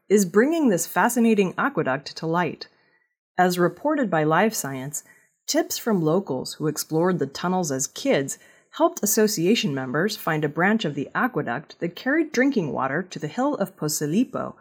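Husky woman reading scripted news, lively intonation. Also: fairly low pitch. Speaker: news reader.

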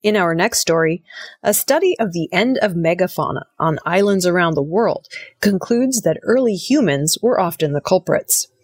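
A woman reading scripted news in a measured, formal manner. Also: lowish voice, expressive, breathy edge, no echo, faint music behind. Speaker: news reader.

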